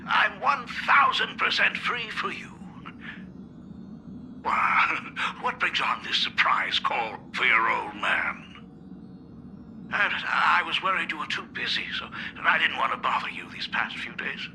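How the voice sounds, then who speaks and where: An actor voicing a doting, excitable middle-aged artist father in a video game, theatrical and effusive. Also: fairly high pitch, measured pace, creaky, slight background noise, heavily acted, poor audio. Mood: eager, chuckling. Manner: theatrical, effusive, chuckles often. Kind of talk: animated video game voice acting.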